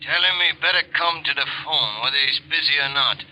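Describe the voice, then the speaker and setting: An actor playing a curt, menacing gangster-like caller in 1940s radio drama style. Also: male voice, fairly high pitch, fairly quick, pushed and bright, rough recording. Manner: curt, tough.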